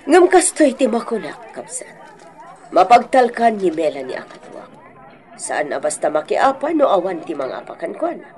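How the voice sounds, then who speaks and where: A woman playing a composed grocery boss, measured and firm with an Ilocano accent in a radio drama. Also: medium pitch, big pitch swings, darkish tone, a faint crowd, close to an everyday voice. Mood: calm, firm. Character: composed female grocery boss standing by her employee.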